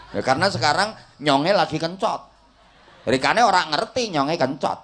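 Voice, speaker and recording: A man's voice: a little high, expressive, a little slow, a bit bright. A middle-aged man with a Javanese accent, preaching a comic sermon to a village congregation and teasing the crowd. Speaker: Islamic preacher.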